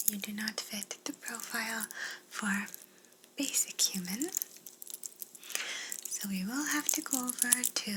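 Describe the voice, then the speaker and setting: A woman playing a polite, soothing female alien abductor in a whispered ASMR roleplay, gently reassuring and clinical in manner. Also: medium pitch, lightly acted, low-quality recording. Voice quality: breathy unvoiced whisper.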